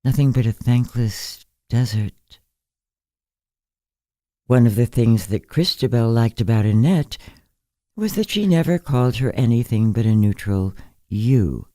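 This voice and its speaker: A man narrating a literary short story in a measured, gentle, deliberate way. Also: mid-range voice, expressive, no room sound, clean studio sound. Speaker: narrator, fiction reader.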